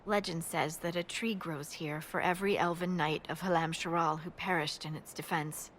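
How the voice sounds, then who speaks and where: A woman's voice: deep voice, steady tone, normal speed, fairly bright tone, slight background noise. A woman voicing a friendly, informal army scout in a video game, giving briefings conversationally. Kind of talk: video game dialogue acting.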